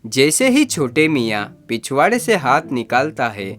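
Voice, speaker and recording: A narrator reading a scripted comic moral story for an animated children's tale. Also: mid-range voice, a little slow, soft background music.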